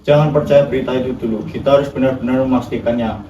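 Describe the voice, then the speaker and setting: Fast-talking, echoey male nationalist, acted by a man. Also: medium pitch, fairly even intonation, mellow, highs cut off, natural voice. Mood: cautious. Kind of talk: amateur historical film acting, formal scripted dialogue. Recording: echoey room, distant mic, clear noise.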